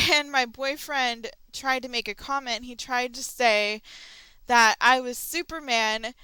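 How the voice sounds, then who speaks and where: A woman's voice: fairly high pitch, slow pace, bright, forceful tone, clear, resonant tone, no echo, low-quality recording. A young woman hosting a casual audio log, chatty and rambling with frequent ums and restarts. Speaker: channel host.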